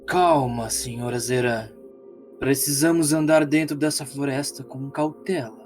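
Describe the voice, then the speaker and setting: A man's voice: medium pitch, fairly even intonation, a little slow, faint music behind, natural voice. A man playing a cautious, formal companion who advises his friends in a fantasy tabletop game. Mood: cautious, reproving.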